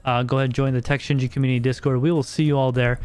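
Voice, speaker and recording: A man's voice: mid-range voice, flat delivery, very fast, darkish tone, clear, resonant tone, faint music behind. An American man hosting a casual podcast livestream, chatting with viewers and reading their messages, often repeating words as he thinks aloud. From United States.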